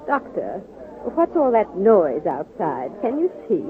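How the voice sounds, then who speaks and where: A woman's voice: mid-range voice, huge pitch swings, takes it slowly. An actress playing a frail but feisty sixty-year-old American woman reformer, wry and insistent, in 1940s radio drama style. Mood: weary, wry. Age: older. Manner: wry, insistent, crisply argumentative. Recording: muffled old radio recording, phone-like band.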